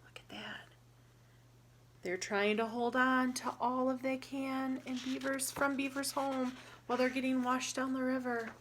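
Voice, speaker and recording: A woman reading a picture book aloud to children in a teacherly way, pausing to ask questions and explain words simply. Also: sits high, flat delivery, a bit bright, slight background noise.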